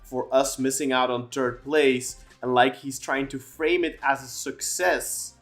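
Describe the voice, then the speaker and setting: Podcast co-host, male, mid-pitched voice, long rambling takes, faint music underneath. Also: steady tone, measured pace. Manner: talks at length, many restarts and fillers. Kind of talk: casual football podcast chat.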